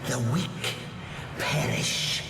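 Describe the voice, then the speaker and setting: An actor voicing a menacing undead warrior spirit in a video game, with echoing reverb. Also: male voice, very slow. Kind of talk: dramatic video game creature voice. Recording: echoey, distant, noisy, with long reverb.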